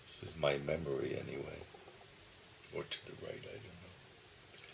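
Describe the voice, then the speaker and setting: A man in his seventies, reminiscing slowly about his childhood, pausing often with soft chuckles, who calls his own voice dull. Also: very deep voice, steady tone, vocal fry, noisy background. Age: 70s. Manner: slow, musing, with soft chuckles and pauses. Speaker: video diarist. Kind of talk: reflective personal reminiscing. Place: home, seated at his computer. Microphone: computer camera mic.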